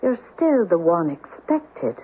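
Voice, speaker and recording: A mysterious Irish woman in an old radio drama. She speaks slowly and insistently in a husky, lilting voice, on a thin phone-like recording. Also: fairly low pitch, very animated, full character voice. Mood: eerie, insistent. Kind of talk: 1970s radio drama acting. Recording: muffled narrow old radio broadcast.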